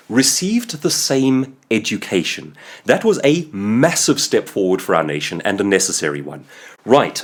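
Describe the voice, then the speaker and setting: A man with a South African accent delivers a sarcastic commentary monologue as the host. His husky voice leaps up and down in pitch all the time, hammering his points home with heavy emphasis. He sounds like a man in his thirties.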